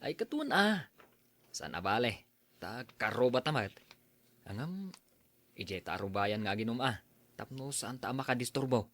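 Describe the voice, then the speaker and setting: A man's voice: medium pitch, expressive, moderate pace, long pauses, a bit bright. A man playing an easygoing, chatty bachelor drinking buddy in an audio drama. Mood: friendly, relaxed. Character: unmarried drinking buddy back from working abroad. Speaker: voice actor in a drama. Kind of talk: Ilocano audio drama acting.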